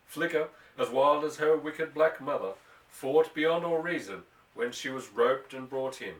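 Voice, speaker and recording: Male audiobook narrator, bright and forceful, highly animated pitch, literary prose read dramatically, distant roomy recording. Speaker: audiobook reader. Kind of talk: scripted literary narration of a novel. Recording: roomy echo, distant microphone.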